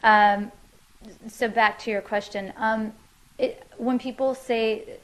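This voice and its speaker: A woman's voice: on the high side, steady tone, takes it slowly, rough recording. A woman musician answering interview questions in her living room, thoughtful and loose, with many fillers and restarts.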